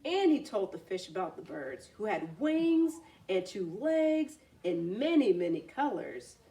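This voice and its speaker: A woman's voice: medium pitch, big pitch swings, a little slow, mellow, distant mic. A woman reading a children's story aloud expressively, adding simple explanatory asides.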